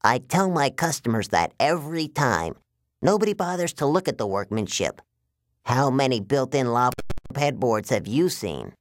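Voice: medium pitch, very animated, normal speed, no echo, clean studio sound, voice pushed up, natural voice. A performer voicing a genial but menacing male giant who talks in folksy, drawling sales patter, in an audiobook. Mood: chatty, pleased. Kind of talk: audiobook character voice.

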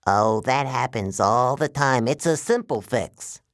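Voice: mid-range voice, lively intonation, a little slow, no echo, studio quality. A performer voicing a genial but menacing male giant who talks in folksy, drawling sales patter, in an audiobook. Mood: chatty, pleased. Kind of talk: audiobook character voice.

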